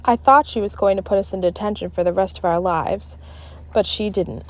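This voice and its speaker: A woman narrating a children's book aloud in an expressive, dramatic, deliberate style. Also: medium pitch. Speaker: storybook reader.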